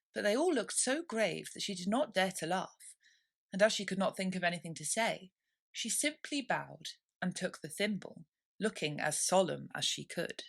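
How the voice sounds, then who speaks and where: A woman reading a children's classic as scripted narration, in an expressive storytelling manner. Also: on the low side, vocal fry. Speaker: storybook reader.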